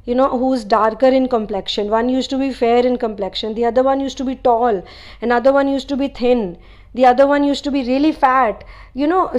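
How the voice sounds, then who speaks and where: Nepali-born woman, spiritual channeler; casual rambling delivery, fillers, slightly high pitch. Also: darkish tone. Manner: chatty, rambling, many "you know" asides. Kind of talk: casual spiritual talk to viewers.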